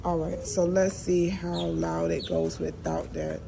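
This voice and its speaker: A woman's voice: lowish voice, sing-song, a little slow, gravelly, noisy background. A woman giving a casual, folksy hands-on product demo. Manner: folksy, informal. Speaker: product reviewer.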